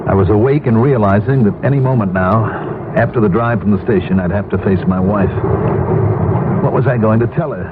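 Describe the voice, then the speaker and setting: Actor as a weary, low-voiced American husband, tinny radio. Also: male voice, fairly quick, very noisy, close to an everyday voice. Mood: brooding, anxious. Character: weary, secretly desperate suburban husband who lost his job. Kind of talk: 1970s radio drama acting and first-person narration. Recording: muffled old radio broadcast with loud music.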